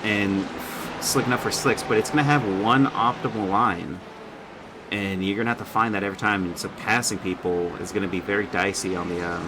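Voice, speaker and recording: A man's voice: on the low side, a little fast, noisy background. A man giving casual, conversational commentary on a sim race, speaking in a loosely improvised way.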